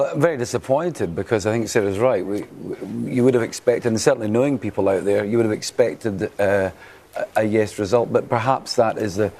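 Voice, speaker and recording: A man weighs in as a studio pundit, halting and circling back on his words. His voice is gravelly and husky, a little low. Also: darkish tone. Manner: hesitant, repeating words. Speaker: political commentator. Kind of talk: studio discussion.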